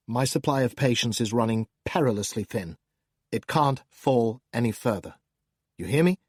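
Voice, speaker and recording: A man's voice: medium pitch, no echo, clean studio sound. A man reading as a taunting demon. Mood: scornful. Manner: taunting, theatrical, swings between hushed and loud. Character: scornful, menacing male demon addressing the reader. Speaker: audiobook narrator. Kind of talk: first-person audiobook narration.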